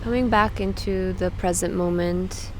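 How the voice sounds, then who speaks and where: A woman leading a guided meditation, giving soothing instructions with frequent pauses. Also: fairly low pitch, fairly even intonation, noisy background. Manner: slow, pausing, soothing instruction.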